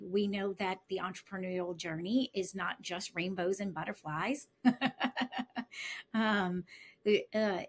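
A woman host interviewing a guest over a video call, asking friendly questions with some fillers. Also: medium pitch.

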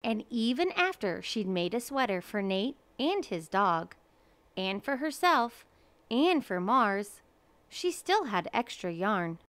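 A woman reads a children's story aloud at a slow, deliberate pace. Her voice is smooth and clear, recorded dry, in a measured storytelling style. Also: medium pitch, big pitch swings, fairly bright tone, no room sound. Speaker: storybook reader. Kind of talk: children's picture book read-aloud.

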